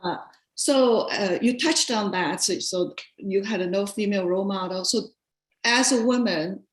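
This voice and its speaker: A woman's voice: mid-range voice, unhurried, echoey room, rough recording. A woman moderating a webinar Q and A, speaking hesitantly with many fillers.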